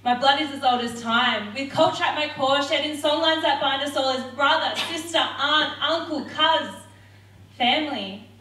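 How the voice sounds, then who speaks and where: A young woman performs rhythmic, defiant spoken word poetry in a high, sharp-edged voice. She is heard from a distance across a roomy hall. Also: moderate pace. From Australia. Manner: rhythmic, defiant, forceful delivery. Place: theatre stage.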